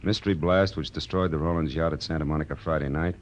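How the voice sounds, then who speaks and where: An American actor playing a weary, wisecracking private detective with a dry deadpan delivery in 1940s radio noir style. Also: male voice, a bass voice, fast, a little hiss or hum. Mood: wry, unimpressed. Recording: old radio recording with music behind.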